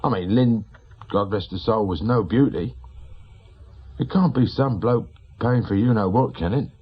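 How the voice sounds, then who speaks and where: An actor playing a grieving, insistent widowed shopkeeper in a radio drama, with a working-class English accent and plain colloquial speech. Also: male voice, lowish voice, unhurried, husky, lo-fi sound. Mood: grieving, puzzled. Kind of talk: naturalistic radio drama acting.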